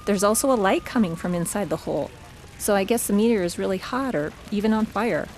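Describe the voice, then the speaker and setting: A woman's voice: mid-range voice, expressive, a little fast, a little mellow, a little hiss or hum, lightly acted. A woman playing a conversational, slightly uneasy field reporter describing a scene live in a radio drama. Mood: uneasy, observant.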